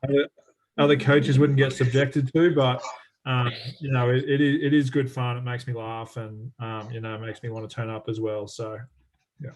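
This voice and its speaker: A man's voice: mid-range voice, flat delivery, moderate pace, darkish tone, a little hiss or hum, poor audio. A man, an athletics coach chatting casually on a video call, rambling with lots of fillers and restarts.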